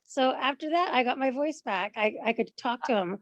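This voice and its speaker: A woman with a fairly high voice tells a personal story in casual chat. She acts out her own quoted thoughts with lively emphasis. The sound is close and dry. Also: normal speed, no echo, muffled recording. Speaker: guest client. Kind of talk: casual conversation.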